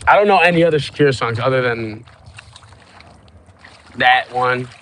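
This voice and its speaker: A man's voice: medium pitch, moderate pace, a bit bright, a little hiss or hum. A Cuban man livestreaming from a small boat out on open water, rambling and swearing while chatting with his viewers through his phone. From Cuba. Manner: profane, rambling, stoned banter with viewers.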